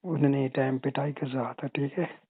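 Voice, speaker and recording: A man's voice: medium pitch, fairly even intonation, a little slow, husky, no room sound. A man playing a flirtatious, possessive brother-in-law, chatting casually and teasingly on a phone call. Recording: tinny narrow phone-call audio.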